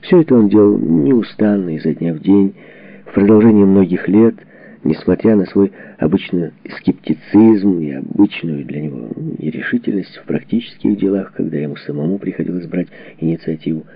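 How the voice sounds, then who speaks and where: A man reading a memoir as a scripted audiobook narration, with measured, dramatic phrasing and expressive delivery. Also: sits low, gravelly, husky, no echo. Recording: dull, muffled lo-fi audio.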